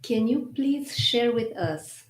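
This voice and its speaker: A woman's voice: fairly high pitch, animated, slow. A woman hosting a podcast interview, speaking earnestly with effusive praise for her guest. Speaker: podcast host. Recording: echoey room.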